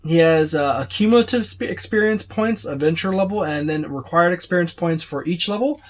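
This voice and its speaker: A man's voice: a little high, brisk. A man giving a casual, unscripted walkthrough as a reviewer, rambling and listing items as he browses. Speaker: tabletop game book reviewer.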